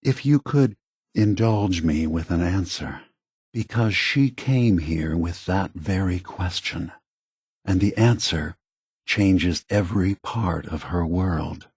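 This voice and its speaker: An actor playing an aging prototype android leader in a video game, a gentle, philosophical idealist with a soft, husky voice and measured, earnest phrasing. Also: male voice, sits low, dry recording, studio quality, exaggerated voice. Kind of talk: acted video game dialogue.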